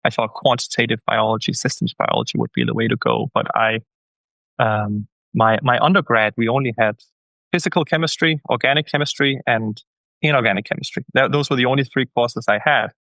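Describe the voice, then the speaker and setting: A man's voice: mid-range voice, expressive, a little fast, gravelly, a bit bright, dry recording, poor audio. A man from Denmark, a guest scientist answering interview questions in a hesitant way, with many restarts and repeated words.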